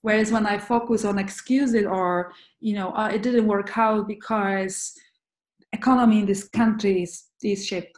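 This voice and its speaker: A woman from Poland, speaking as an interview guest in an earnest, halting way with many restarts. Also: medium pitch, fairly even intonation, a little mellow. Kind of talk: conversational interview. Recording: roomy echo.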